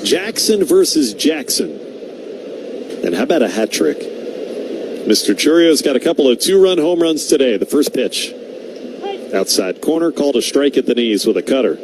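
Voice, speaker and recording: A man calling live baseball play-by-play as a radio announcer. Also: medium pitch. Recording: clear background noise, outdoor ballpark ambience.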